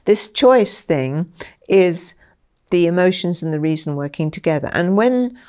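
An older woman with a slightly low voice talks through her ideas in an interview. She is animated and expressive, slipping into short acted-out exchanges. She sits in a small book-lined study with a lapel mic. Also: unhurried, clean tone. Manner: animated, gestures a lot, acts out dialogue. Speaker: interviewee, former school head. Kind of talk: explanatory interview talk. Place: small study with bookshelves, indoors.

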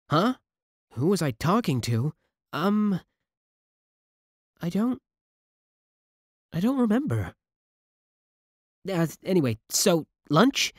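A man's voice: fairly high pitch, no echo, studio quality, exaggerated voice. A man playing a timid, lovestruck teenage schoolboy in an audio roleplay, stammering and hesitant. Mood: shy, eager. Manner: stammering, hesitant, breathless eagerness. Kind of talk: scripted ASMR roleplay acting.

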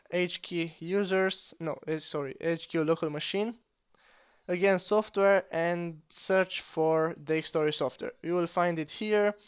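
A young man with a Romanian accent walks through a software fix at his computer, his voice very clear and a little high for a man. He speaks slowly and haltingly, often pausing on fillers and starting words over. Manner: halting, with frequent uh fillers and restarts. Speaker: tutorial host. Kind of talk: screen-recorded software tutorial.

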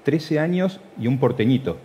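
A man's voice: mid-range voice, expressive, normal speed, warm tone, breathy edge, highs cut off. A man in his forties with a Buenos Aires accent, hosting a conversational television interview. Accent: Argentine, Buenos Aires. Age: 40s. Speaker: TV interview host. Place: TV studio.

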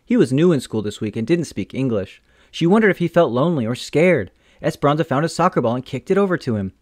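A man reading a children's picture book aloud in a gentle, expressive storytelling manner. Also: a little high, fast talker, no echo, clean studio sound. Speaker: storytime reader.

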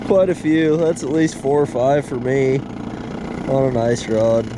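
A man's voice: medium pitch, measured pace. Enthusiastic man on a noisy fishing boat. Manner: enthusiastic, slangy. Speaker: angler. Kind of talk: casual fishing vlog chat. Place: small open boat on a lake, outdoors. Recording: noisy outdoor boat with motor sound.

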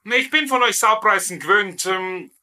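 An actor playing a blustering, tipsy Bavarian sergeant who poses as a general, in dubbed sitcom comedy style. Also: male voice, sits high, moderate pace, sharp tone. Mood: blustering outrage. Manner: blustery, exclaiming, indignant.

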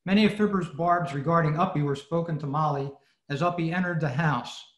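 Echoey man presenting, slightly high voice. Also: a little fast. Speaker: presenter at an old-time radio club meeting. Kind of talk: prepared lecture narration. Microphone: video call. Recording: roomy, echoey video-call sound.

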